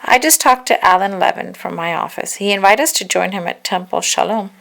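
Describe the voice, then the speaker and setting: A woman's voice: medium pitch, brisk, vocal fry, a bit bright. A woman voicing a friendly, easygoing father in a storybook, in a plain informative manner.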